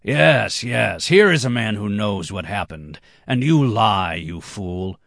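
A man's voice: lowish voice, animated, normal speed, vocal fry, a bit bright, dry recording, studio quality, voice pushed up, exaggerated voice. A man voicing a drunken, boastful, hot-tempered red-haired banker. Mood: excited.

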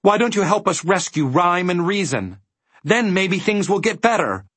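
Man voicing an earnest, high-pitched polite boy. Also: lively intonation, normal speed, a bit bright, slightly rough, dry recording, full character voice. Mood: desperate, pleading. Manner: meek, pleading. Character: polite, earnest, quick-thinking young boy traveler.

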